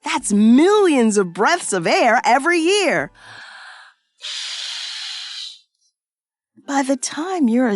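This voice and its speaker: A woman playing a cheerful, excitable science professor who explains things in an exaggerated, sing-song way for young children in an educational cartoon. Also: sits high, dry recording. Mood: enthusiastic, explaining. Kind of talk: scripted educational cartoon narration.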